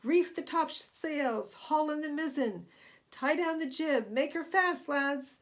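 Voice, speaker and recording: A woman voicing a gruff, commanding male sailing ship captain from a storybook, growling in salty sailor talk. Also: high voice, slow pace, pitched above the performer's own voice. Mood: urgent.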